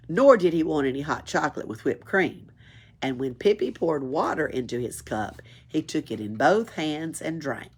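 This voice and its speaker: Animated, husky woman reading a story. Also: deep voice. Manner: expressive, chuckles and adds asides. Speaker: library read-aloud reader. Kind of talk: children's story read-aloud.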